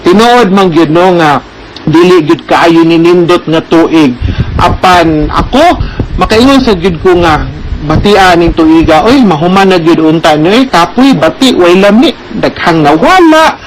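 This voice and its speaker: A man's voice: high voice, animated, unhurried, slight background noise, low-quality recording. A man with a Cebuano Filipino accent hosting a radio advice programme, talking conversationally to listeners and often checking in with short tag questions.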